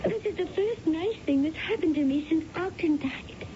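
A high, fluttery woman's voice plays a gushing, eager widow in a vintage radio drama. Her delivery is theatrical and flattering. The old broadcast is muffled, with clear background noise. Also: moderate pace. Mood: gushing, delighted. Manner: gushing, breathy exclamations, theatrical. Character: effusive widowed female client, flattering and eager. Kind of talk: 1970s radio drama acting.

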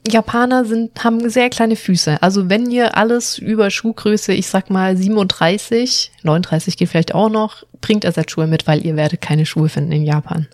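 A young woman chatting casually, full of fillers and quick laughs. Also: medium pitch, a little mellow, no room sound. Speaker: co-host. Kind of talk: casual chat. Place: living in Japan.

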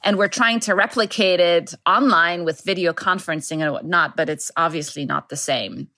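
A woman's voice: lowish voice, normal speed, sharp tone, no room sound. A woman from Egypt, a scientist and founder, answering interview questions from home thoughtfully and with fillers.

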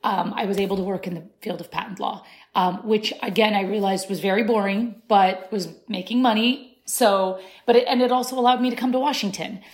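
A woman's voice: mid-range voice, fairly even intonation, a little fast, hardly any pauses. A woman in her thirties, born in Syria, speaking as an interview guest in a thoughtful way with many restarts. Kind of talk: conversational interview.

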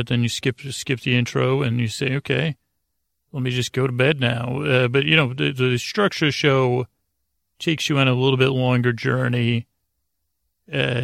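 A man hosting a bedtime podcast, meandering and halting as he rambles, often trailing off mid-thought. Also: mid-range voice, vocal fry, a bit bright, no echo, studio quality. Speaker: podcast host.

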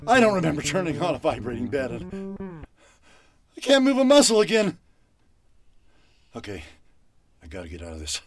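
An actor playing a panicked, foul-mouthed captive in old-time radio horror style, halting and melodramatic. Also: male voice, a little high, huge pitch swings, faint music behind. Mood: weak, anxious. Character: panicked, foul-mouthed captive man pleading and scheming to escape.

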